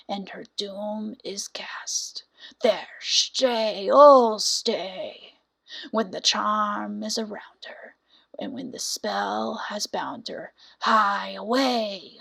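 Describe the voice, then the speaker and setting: A woman voicing a sinister old fairy witch, chanting a spell slowly in a hoarse whisper for a storybook. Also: medium pitch, fairly bright tone, full character voice. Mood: sinister, incanting. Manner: slow, drawn-out chanting.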